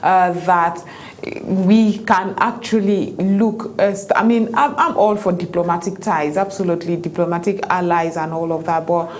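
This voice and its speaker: A woman's voice: on the low side, moderate pace. Woman on a tv panel, lots of uhs and restarts, gets fired up, faint noise behind. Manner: animated, many fillers and restarts. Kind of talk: panel discussion.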